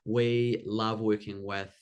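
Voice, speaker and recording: Low-pitched New Zealand man presenting. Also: flat delivery, slow pace, clear, resonant tone. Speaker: host, business coach. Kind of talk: live online workshop presentation.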